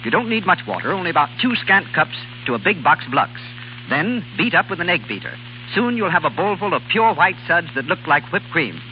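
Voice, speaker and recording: A male radio announcer with a fairly high, very animated voice delivers an emphatic 1930s advertisement. The broadcast sound is dull and narrow. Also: brisk, slight background noise. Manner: emphatic, instructional salesman delivery.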